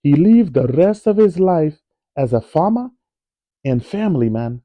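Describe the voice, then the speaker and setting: A man reading a children's biography aloud in a slow, clear storytelling manner. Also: on the high side, very animated, warm tone, dry recording. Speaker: read-aloud narrator. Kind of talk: children's book read-aloud.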